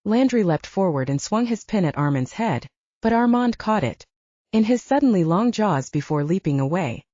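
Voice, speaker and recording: A woman's voice: mid-range voice, lively intonation, moderate pace, mellow, no room sound, dull audio. A woman reading scripted first-person fiction as an audiobook narrator.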